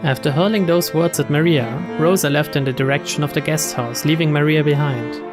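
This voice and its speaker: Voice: medium pitch, brisk. A narrator giving a scripted reading of a story from a visual novel. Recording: voice over clear string music.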